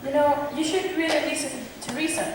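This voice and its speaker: An earnest, coaxing attendant played by an amateur actress, loyal and sensible, with a high female voice. The stage sound is distant, with long hall reverb. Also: steady tone, brisk, lightly acted. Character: reasoning, loyal attendant to a gloomy lord. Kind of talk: amateur stage acting of modernized Shakespeare. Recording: distant, very echoey hall, faint noise.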